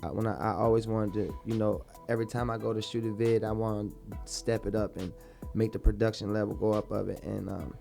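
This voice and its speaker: A young man speaks in a laid-back, rambling way, often circling back on his own words. His voice has a gravelly, creaky edge. Faint music plays behind him. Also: fairly low pitch, steady tone, a little fast, hardly any pauses, dark tone. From Baltimore, born in Dallas. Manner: laid-back, repeats himself a lot. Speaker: rapper guest. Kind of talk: casual interview talk.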